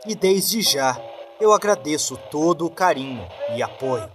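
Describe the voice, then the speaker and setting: A man's voice: a little high, lively intonation, unhurried, a crowd in the background. A man with a Brazilian accent giving a scripted appeal to listeners as a podcast narrator and host. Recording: clear music bed behind voice.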